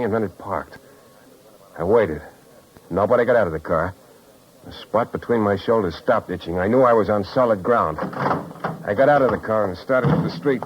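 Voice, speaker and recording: An actor playing a wry, tough private detective in clipped 1950s radio style. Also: male voice, mid-range voice, lightly acted. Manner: wry, hard-boiled, clipped. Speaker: lead actor and narrator. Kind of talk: 1950s radio detective acting, first-person narration. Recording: dull 1950s radio recording, music behind.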